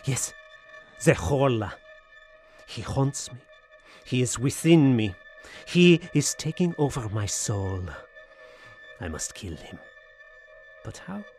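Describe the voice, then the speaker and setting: Haunted Frenchman, feverish, theatrical, formal diction, slow, very animated pitch, audio horror drama. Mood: obsessed, desperate. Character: tormented Frenchman, haunted, feverish, slipping into madness.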